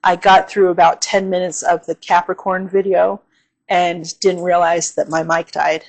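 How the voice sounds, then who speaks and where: A woman hosting her own channel, talking through an astrology forecast in a casual, unhurried way with a slightly hoarse, tired voice, often saying "um" and checking in with "okay?". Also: on the low side, flat delivery, poor audio.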